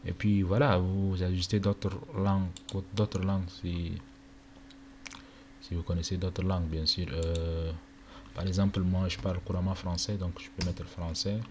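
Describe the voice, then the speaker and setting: Low, flat-voiced young man from Chad. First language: Arabic. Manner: casual, halting, many fillers. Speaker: tutorial presenter. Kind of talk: screen-recorded walkthrough. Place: indoor room at a computer. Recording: noisy home recording with keyboard clicks.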